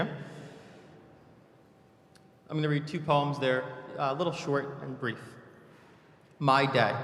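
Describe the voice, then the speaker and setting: A man's voice: medium pitch, a bit bright. A man reading his own short poems live, with brief introductions and short, clipped phrases. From New Jersey, United States. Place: large reverberant room, live event. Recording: echoey hall with long reverb.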